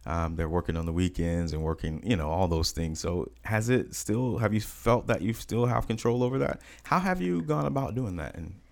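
A woman's voice: a bass voice, moderate pace, vocal fry, few pauses, darkish tone. A woman hosting a podcast interview, encouraging her guest with frequent short affirmations. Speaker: podcast host. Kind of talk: casual interview.

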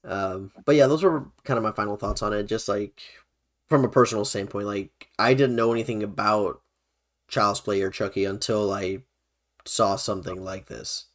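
A man's voice: lowish voice, steady tone, moderate pace. A young man hosting a podcast, chatting casually about films. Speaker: podcast host.

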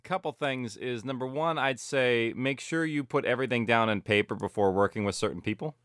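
A man's voice: medium pitch, brisk, clear, resonant tone, dry recording, studio quality. A middle-aged man chatting casually as an interview guest, rambling and correcting himself as he goes. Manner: animated rambling, self-correcting, peppered with "like" and "you know". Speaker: interview guest, audio drama producer.

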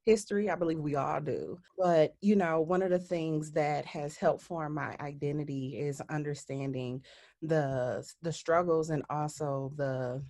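Low-voiced woman. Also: fairly even intonation, measured pace, darkish tone. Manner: earnest, reflective. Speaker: guest. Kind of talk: interview storytelling.

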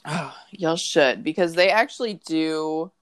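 Podcast co-host, woman, congested, low voice, dry jokes. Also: measured pace, slight background noise. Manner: joking, wry asides. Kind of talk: casual chat.

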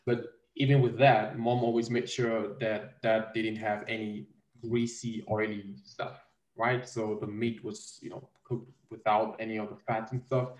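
A man's voice: on the low side, little pitch movement, measured pace. Male host from Cameroon, casual and hesitant, recorded at a distance in an echoey room. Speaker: interviewer, host. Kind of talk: casual conversational interview.

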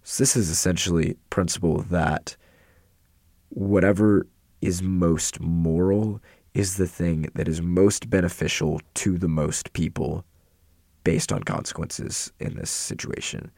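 Young guy w/ a rough scratchy voice, super low and gravelly, dry close sound, kinda rambly. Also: steady tone, measured pace, a little mellow, no room sound. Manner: rambling, self-correcting, filler-heavy. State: hoarse from yelling and illness. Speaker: student explaining philosophy. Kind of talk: casual explainer talk.

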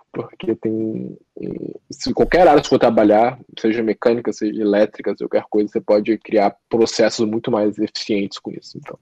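A man's voice: lowish voice, mellow, breathy edge, no room sound. A young man, answering a podcast interview thoughtfully, with many restarts and hesitations. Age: young adult. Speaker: podcast guest. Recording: dull lo-fi dry sound.

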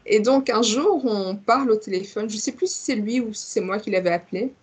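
A woman co-hosting a podcast, telling a story in a lively, chatty way with many fillers. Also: mid-range voice, a little fast, slightly rough. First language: multilingual, grew up in Congo and Belgium. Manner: animated storyteller, frequent fillers, laughs easily. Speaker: podcast co-host. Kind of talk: casual chat, telling an anecdote.

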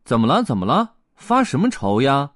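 Elmer the sunny patchwork elephant, as a man puts him on, lifted well above a natural speaking pitch and bouncing up and down. He coaxes and teases in a bright, playful storybook voice with a very dry, close sound. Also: huge pitch swings, normal speed, no room sound. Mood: cheerful. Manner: bright, coaxing, teasing. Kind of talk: storybook character voice.

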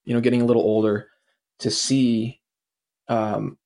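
A man's voice: mid-range voice, flat delivery, a little slow, gravelly, a little mellow. A man in his late twenties, a former athlete, answering casually with lots of hesitant fillers. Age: 20s. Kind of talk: interview answer.

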